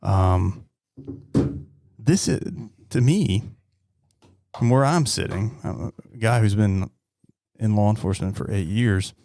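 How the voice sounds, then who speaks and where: A man in his thirties talking bluntly and earnestly on a podcast, often halting and repeating his words. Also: fairly low pitch, creaky, mellow. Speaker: podcast host, police officer. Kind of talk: heartfelt interview talk.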